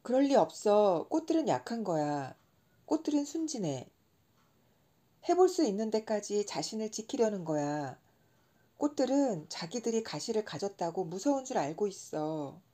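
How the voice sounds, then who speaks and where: A woman's voice: mid-range voice, sing-song, normal speed, dark tone. A woman reading as an earnest, stubborn little prince. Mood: indignant. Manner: pleading, insistent questioning.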